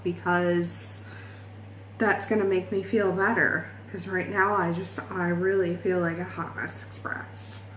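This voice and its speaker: A woman's voice: mid-range voice, roomy sound, slight background noise, low-quality recording. A woman in her late twenties from Ireland, chatting in a rambling, self-deprecating way in a makeup vlog. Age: 20s. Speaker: beauty vlogger.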